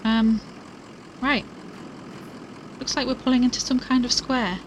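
Woman acting an uneasy investigator, higher voice, noisy surroundings. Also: fairly even intonation, unhurried, close to an everyday voice. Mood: uneasy, weary. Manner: casual, hesitant, uneasy asides. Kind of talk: audio drama acting, recorded diary narration.

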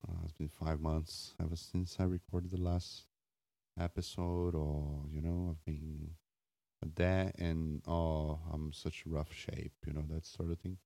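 Guy rambling on his podcast, super low raspy just woke up voice, dark and mellow, keeps stopping to find words. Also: flat delivery, no room sound. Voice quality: raspy morning voice. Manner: halting, hesitant, searching for words. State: just woken up. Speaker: podcast host. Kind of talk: rambling off-the-cuff solo podcast monologue.

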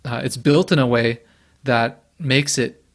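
Calm, even-voiced male guest. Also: mid-range voice. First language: Japanese alongside English. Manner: measured, fairly even delivery, thoughtful. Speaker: podcast guest. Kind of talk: casual interview over video call.

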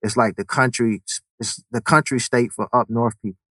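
A man from Connecticut in a relaxed group conversation, talking loosely and repeating words. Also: medium pitch, normal speed, dry recording. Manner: laid-back, repeats words, lots of "you know what I mean". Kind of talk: casual chat.

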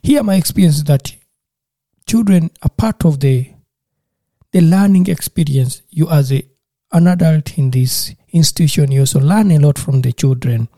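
A man from Uganda speaks in a casual interview, earnest and a little halting, often repeating words as he restarts. His voice is very dark and mellow. The sound is dry and close. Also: fairly high pitch, dry recording.

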